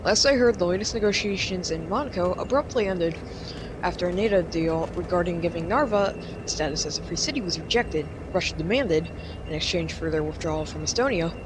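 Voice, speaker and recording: A woman acts out a female war correspondent in a radio news drama, speaking on the lower side from the front line. The report comes through clear outdoor noise with a rumble of vehicles. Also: brisk, close to an everyday voice. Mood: tense, formal.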